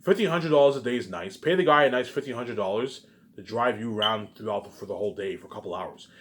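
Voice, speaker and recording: A man's voice: lowish voice, quick pace, a bit bright. Man rambling casually in repetitive, emphatic bursts. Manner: repetitive, restarts phrases, frequent filler catchphrases.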